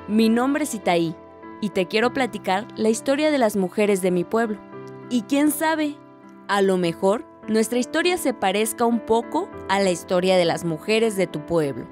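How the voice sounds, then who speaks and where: A young village woman, played by an actress, tells her story in a radio drama. Her voice is medium in pitch and reflective, over soft music. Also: lightly acted.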